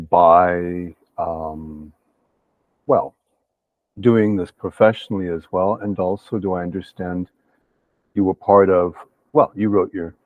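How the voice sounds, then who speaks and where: A man with a very low voice hosts the interview, putting his questions in a friendly, roundabout way. His audio comes through thin and phone-like, dry and close. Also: no echo. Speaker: podcast host. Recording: tinny phone-like call audio.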